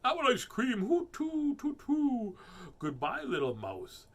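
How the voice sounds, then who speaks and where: A man's voice: extremely high pitch, wildly swooping pitch, slow, a bit bright, pitched above the performer's own voice, full character voice. A man voicing a gullible storybook owl in a theatrical, exclaiming manner. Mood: alarmed. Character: inviting, gullible owl who takes fright.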